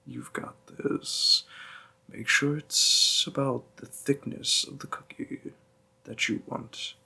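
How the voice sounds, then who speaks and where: Man playing a slow, gravelly, doting demon boyfriend. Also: medium pitch, steady tone, exaggerated voice. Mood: encouraging. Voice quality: breathy, gravelly, half-whispered. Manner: formal courtly phrasing, softly coaxing. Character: gentle, doting male demon boyfriend, teasing and courtly. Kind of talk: roleplay audio, intimate second-person acting.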